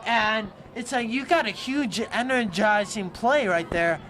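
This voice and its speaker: A woman doing loud, joking play-by-play commentary for a livestream from the press box of an outdoor stadium. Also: fairly high pitch, a little slow, background noise. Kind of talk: live sports commentary.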